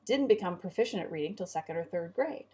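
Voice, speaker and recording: A woman's voice: on the low side, lively intonation, quick pace, mellow, slightly rough. A middle-aged woman chatting casually as a co-host and homeschooling parent. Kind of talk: casual conversational talk.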